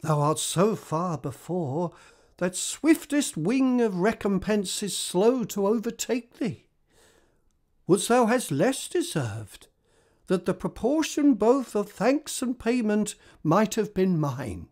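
A woman playing a gracious, emotional king in a Shakespearean radio drama, stately and effusive, with drawn-out phrasing. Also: mid-range voice, sing-song, darkish tone. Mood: warm gratitude. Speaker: actor in an amateur radio drama.

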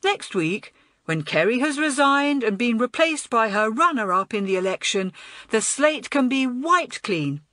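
A firm but fair headmistress acted by a woman reader, formal and measured. Her pitch moves expressively, in a dry storybook recording. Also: very animated, a bit bright, no echo.

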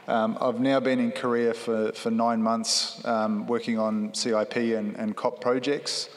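A man from Australia with an Australian accent, speaking on a conference panel in a relaxed, filler-heavy way. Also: mid-range voice, measured pace. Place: large conference hall stage. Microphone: handheld mic. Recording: reverberant hall.

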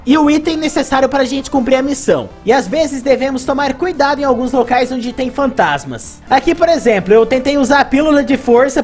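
A narrator with a Brazilian Portuguese accent, reading scripted commentary as a retro video game reviewer. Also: medium pitch.

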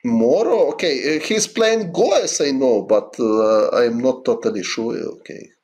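A middle-aged man from Ukraine with a Ukrainian accent, chatting casually on a chess stream in halting English with many fillers. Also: mid-range voice, animated, a little mellow. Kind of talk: casual livestream chat answering viewers.

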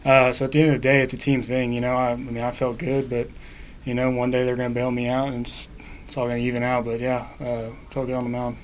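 A man's voice: medium pitch, completely flat delivery, fast, a little hiss or hum, low-quality recording. A man in his twenties, a college baseball player, giving matter-of-fact answers at a postgame press conference. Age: 20s. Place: indoor press room.

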